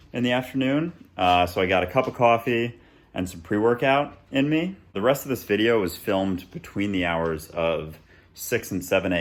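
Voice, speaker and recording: A young man in his twenties talking casually through his day in a vlog, with a flat, monotone delivery. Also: low-pitched. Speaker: vlogger. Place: apartment kitchen table and backyard.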